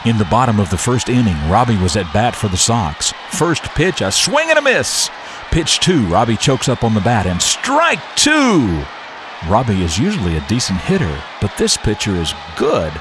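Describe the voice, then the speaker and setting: A man hosting morning radio and narrating stories in an expressive, folksy, emphatic manner. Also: lowish voice, moderate pace, background noise. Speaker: radio show host and storyteller. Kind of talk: morning radio talk and dramatic story narration.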